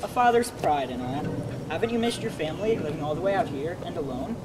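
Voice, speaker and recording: A woman's voice: low voice, lively intonation, quick pace. Girl playing a friendly young male helper. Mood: earnest. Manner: earnest, gently teasing. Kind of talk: homeschool dramatic reading. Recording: loud background noise.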